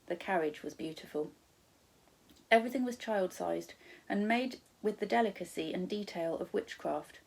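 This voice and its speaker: A woman reading a children's storybook aloud as a teacher, in expressive narration with the odd corrected stumble. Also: mid-range voice, rough recording.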